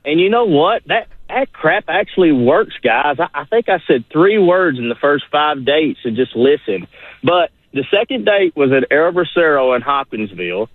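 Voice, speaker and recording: A man's voice: a little high, sing-song, moderate pace, no echo. A man telling stories casually in a folksy, colloquial way as a caller to a radio show. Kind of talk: casual storytelling. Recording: tinny phone line.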